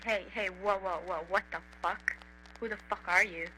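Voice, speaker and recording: A woman voicing an aimless, awkward, foul-mouthed young man in an indie animated horror, speaking in a hesitant, deadpan mumble. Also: medium pitch, a little slow, faint music behind, low-quality recording. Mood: bewildered. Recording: faint hum and hiss.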